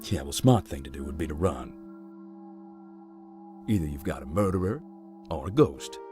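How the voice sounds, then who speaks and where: A man playing a giant, easygoing, wry explorer in a visual novel, talking in a folksy, deadpan way. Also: a bass voice, normal speed. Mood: wry, cautious. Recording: music behind the voice.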